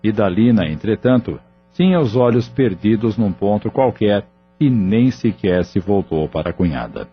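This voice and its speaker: A man narrating an audio drama in a steady, measured way. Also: fairly low pitch, breathy edge. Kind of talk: scripted narration. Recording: dull lo-fi audio with faint music.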